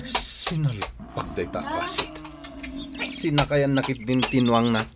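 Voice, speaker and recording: A man's voice: mid-range voice, expressive, slow pace, over loud music. A man acting as a teasing, blustery village man in an Ilocano-accented comedy radio drama, needling and exclaiming. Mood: teasing, grumbling.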